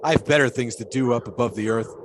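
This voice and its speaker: An actor playing a pious, philosophical 1920s flagpole sitter who talks in a folksy, preachy way. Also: male voice, mid-range voice, a little fast, background noise, natural voice. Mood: musing. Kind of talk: audio drama acting.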